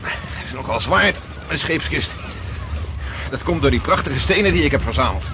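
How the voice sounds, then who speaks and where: An actor playing a cheerful, easygoing young sailor hauling a sea chest. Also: male voice, mid-range voice, animated, normal speed, lightly acted. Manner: easygoing, chatty. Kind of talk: radio drama acting. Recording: outdoor scene with clear background noise.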